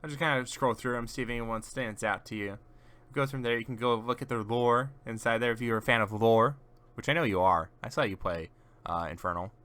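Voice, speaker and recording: A man's voice: medium pitch, fairly quick, bright, forceful tone. A man casually chatting on a gaming stream, giving rambling, teasing explanations to a friend as he shows off game characters.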